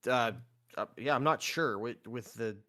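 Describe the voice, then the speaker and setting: A man's voice: mid-range voice, a little slow, bright, forceful tone. A man playing a tabletop roleplay game, talking casually and hesitantly, with some swearing. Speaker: player.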